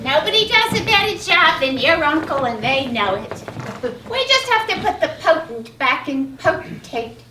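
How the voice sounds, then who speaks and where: An actress playing a chatty, fussy, doting aunt goddess in a live stage comedy. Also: female voice, sits high, very animated, moderate pace. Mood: brisk, nagging. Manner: fussy, chirpy, matter-of-fact. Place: live in a playhouse, far from the mic. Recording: distant, echoey stage with clear noise.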